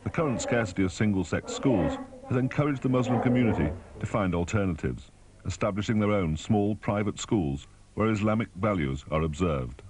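A British man narrates a report in a low voice. The delivery is scripted and formal, in documentary style. A faint noise runs under the recording. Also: fairly quick, a little mellow, poor audio.

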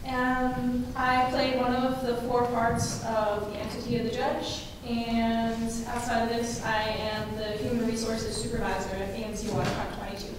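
A woman's voice: fairly high pitch, flat delivery, normal speed, few pauses. A woman in her twenties introducing herself plainly on a youth theater panel. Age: 20s. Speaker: cast member in a youth theater Q&A. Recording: echoey hall from far off with room noise.

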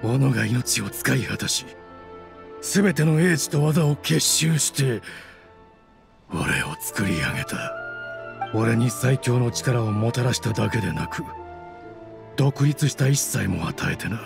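A man's voice: mid-range voice, expressive, unhurried, gravelly, pauses often. A man voicing a proud, bitter spirit of a cursed sword in a Japanese video game, speaking with haughty menace. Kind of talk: dramatic Japanese game voice acting. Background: music.

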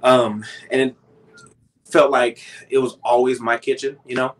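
A man's voice: medium pitch, little pitch movement, measured pace. An American man in his thirties telling a casual story expressively, with emphatic repetitions, as a guest on a video call. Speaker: interview guest, cleaning company owner. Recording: noisy video call with clicks.

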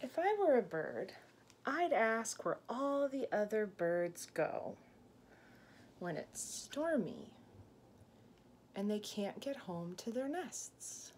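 A woman in her thirties reads a picture book to young children at a slow, unhurried pace. She speaks at a medium pitch, stopping for simple explanations and gentle questions. It is a homemade recording in a small room, with noticeable background noise. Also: big pitch swings. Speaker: teacher reading aloud to young children. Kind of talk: picture book read-aloud with asides to children.

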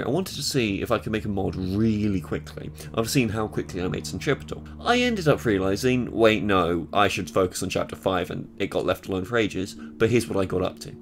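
An eighteen-year-old man with a British accent, narrating his game-map devlog in a sarcastic, self-mocking way, filmed in a small bedroom with a desk mic. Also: lowish voice. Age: late teens. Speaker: game mod creator. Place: small bedroom with purple light.

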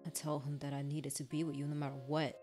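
A woman plays an obsessive young male stalker from a visual novel. The voice is low and accusing, pleading with jealous menace. Loud music plays along. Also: little pitch movement, fast talker, natural voice. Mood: bitter.

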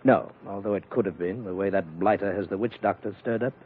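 An actor playing a brisk, courteous British colonial police lieutenant in an old radio adventure. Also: male voice, deep voice, a little hiss or hum, close to an everyday voice. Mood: brisk briefing. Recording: muffled old radio recording.

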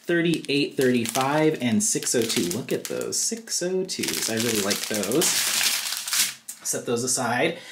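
A woman's voice: rumbling low, animated, takes it slowly. Chatty woman in an echoey, noisy room. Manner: chatty, thinking aloud. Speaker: craft unboxing vlogger. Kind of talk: casual unboxing commentary. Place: indoors at a craft table. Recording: roomy, echoey sound with background noise. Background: crinkling plastic bags, clicks.

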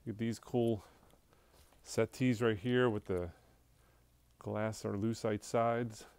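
A man's voice: on the low side, steady tone, takes it slowly, darkish tone, no room sound. A man from an auction house walking through a showroom, casually listing furniture and lots as he goes. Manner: offhand, listing items as he walks. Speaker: auction house staff giving a preview walkthrough. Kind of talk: casual walkthrough narration. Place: large indoor auction showroom full of furniture. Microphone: handheld phone camera, speaker off screen.

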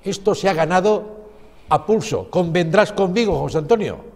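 A man's voice: high-pitched, expressive, moderate pace, darkish tone, long reverb tail. A man in his sixties with an accent from Spain, presenting in conversation and making his points emphatically, one after another. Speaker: television presenter. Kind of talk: studio conversation with a reporter. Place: indoor TV studio.

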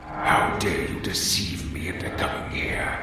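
A man voicing a vengeful phantom animatronic villain in a fan voice reel, slow, theatrical and menacing. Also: a bass voice, noisy background. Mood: cold menace. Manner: slow, drawn-out menacing delivery. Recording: distant, heavily reverberant, music underneath.

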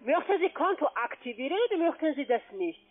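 A woman's voice: high-pitched, expressive, fairly quick. A woman who is not a native German speaker, making an insistent call-centre sales call in broken grammar over the telephone. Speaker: scam call centre agent. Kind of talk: cold-call sales pitch. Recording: muffled narrowband phone line.

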